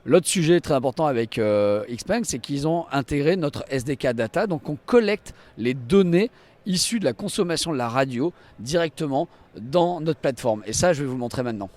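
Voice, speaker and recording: A man with a fairly high voice walks through a product demonstration at a trade show. He explains each feature with enthusiasm, speaking as a representative of the company behind it. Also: animated, moderate pace, darkish tone. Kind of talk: live walk-through product demonstration to camera. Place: trade show stand and inside a car.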